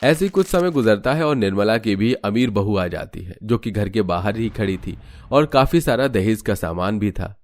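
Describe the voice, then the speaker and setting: A man's voice: lowish voice, animated, normal speed, darkish tone. A man narrating a scripted cartoon story as a storyteller.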